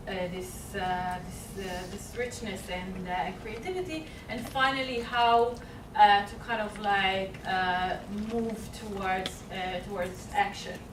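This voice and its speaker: A woman presenting at a conference panel, speaking haltingly with many fillers and restarts. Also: medium pitch. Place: conference hall. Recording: echoey room, far mic, noisy.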